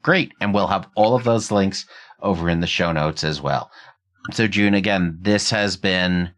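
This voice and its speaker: Man, very low voice, podcast host, conversational delivery. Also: a little slow, a bit bright. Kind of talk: conversational interviewing and commentary.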